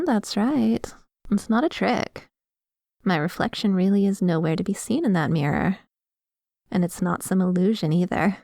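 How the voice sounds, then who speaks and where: A woman playing a dominant, possessive female vampire in an ASMR roleplay, talking in a coy, deliberate and quietly menacing way. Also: mid-range voice, creaky, no echo, studio quality. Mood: smug, teasing.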